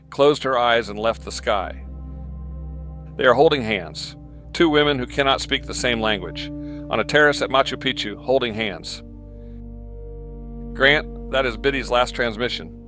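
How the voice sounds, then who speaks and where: An actor playing a tender radio anchor in an audio drama, giving a gentle, measured eulogy at the world's end. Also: male voice, medium pitch, close to an everyday voice. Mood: hushed sorrow. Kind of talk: audio drama broadcast monologue. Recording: music under the voice.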